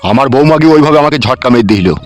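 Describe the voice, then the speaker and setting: A man voicing a crude, henpecked village uncle in a comedy cartoon, gruff and blunt, with a rural West Bengal accent. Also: medium pitch, lively intonation, quick pace, soft background music, natural voice. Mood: blunt, scornful.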